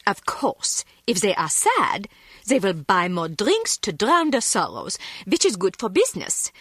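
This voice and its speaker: A woman's voice: mid-range voice, wildly swooping pitch, a little slow, fairly bright tone, slightly rough, dry recording, clean studio sound, heavily acted. A woman playing a vain, menacing club singer in a video game, speaking theatrically and condescendingly with a German accent. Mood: grandiose, aggrieved.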